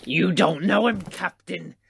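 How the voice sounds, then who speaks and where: An actor playing a feisty, loyal crewmate with a gravelly voice and an in an audio play. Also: male voice, sits high, a little slow, background noise, exaggerated voice. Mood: bitter. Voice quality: gravelly, creaky. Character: feisty, indignant, loyal pirate crewmate Will. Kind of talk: theatrical audio play acting.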